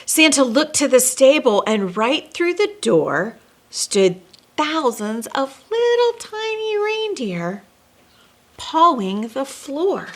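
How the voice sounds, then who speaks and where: A woman storyteller reading a children's picture book aloud expressively, with dramatic pauses and chatty asides as host. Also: high voice, sing-song.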